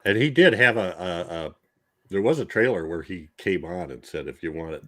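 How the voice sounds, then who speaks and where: A man's voice: deep voice, lively intonation, normal speed, bright, forceful tone. A man hosting a casual podcast chat, easygoing and leading the segments. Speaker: podcast host.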